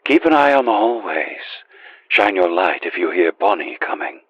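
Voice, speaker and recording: Man acting a low, husky game guide on radio. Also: very animated, normal speed, dry recording, dull audio, exaggerated voice. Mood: grave, watchful. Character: grave, urgent male guide helping the player. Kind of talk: video game radio guidance lines.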